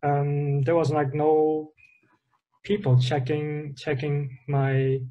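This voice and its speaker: A man's voice: on the high side, level tone, slow, dull audio. A young man from the Netherlands, a guest on a video call from a bedroom, talking hesitantly and searching for words. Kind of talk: casual interview. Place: bedroom, indoors.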